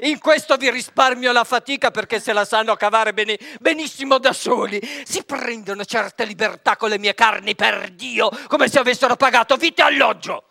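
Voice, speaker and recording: A woman's voice: a little high, moderate pace, gravelly, hardly any pauses, sharp tone, no echo, studio quality. A woman playing a cheeky, cowardly, hungry peasant clown servant in a radio stage comedy, blustering and exclaiming. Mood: blustering, joking. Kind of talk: broadcast stage comedy acting.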